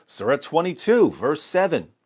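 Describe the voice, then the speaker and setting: A man's voice: on the high side, wildly swooping pitch, normal speed, darkish tone, slightly rough. A man giving polemical religious commentary with scripture readings, in an emphatic, sarcastic and theatrical way.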